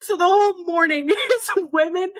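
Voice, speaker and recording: A woman's voice: very high voice, normal speed, no room sound. Young woman from venezuela telling a story on a podcast, laughs a lot, swears, dry close mic. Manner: animated storyteller, laughs often, swears freely. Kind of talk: casual chat, storytelling.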